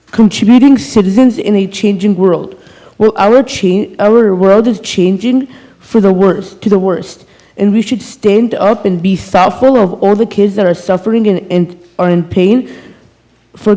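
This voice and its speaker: A thirteen-year-old boy speaks in a high, mellow voice. He reads a personal statement at a meeting, earnest and slightly halting as he works through it. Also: poor audio. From small town in Iowa, USA. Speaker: student speaker at a public meeting. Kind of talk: prepared personal speech. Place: school board meeting room.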